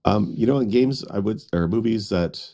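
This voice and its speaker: Low, gravelly man in casual chat. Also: normal speed, warm tone. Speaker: podcast panelist.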